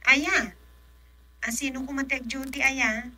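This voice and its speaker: A teasing rural colleague in an Ilocano radio comedy. Her voice is very bright and sharp, with slow, drawn-out phrasing and an Ilocano accent. The sound is dry. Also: sits high, fairly even intonation, no echo, full character voice. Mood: skeptical, surprised. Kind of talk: comedic radio drama acting.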